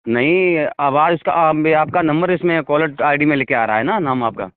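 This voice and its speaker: A man's voice: a little high, moderate pace, smooth, no echo. A man talking on a phone call, insistently justifying himself and asking to be heard out. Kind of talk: phone conversation. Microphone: telephone. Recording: tinny, dry phone call recording.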